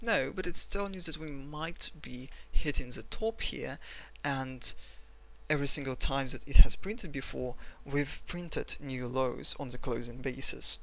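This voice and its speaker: A man's voice: medium pitch, a little slow, gravelly, pushed and bright. A man with a Russian accent, talking through a chart analysis in a rambling, blunt, self-mocking way. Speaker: trading analyst. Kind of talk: screen-recorded chart analysis.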